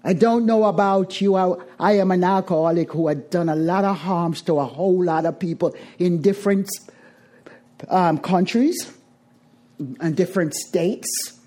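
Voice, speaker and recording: A middle-aged Jamaican woman addresses a recovery meeting. Her voice is dark and mellow, with soft edges. She speaks deliberately and with emphasis, circling back over phrases to give them weight. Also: fairly low pitch. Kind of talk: twelve-step recovery talk to a group.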